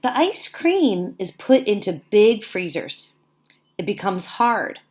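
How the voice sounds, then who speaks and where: A woman teacher reading aloud to young children in simple, deliberate phrasing, pausing to explain as she goes. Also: mid-range voice, sing-song, a little mellow. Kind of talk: read-aloud lesson with explanatory asides.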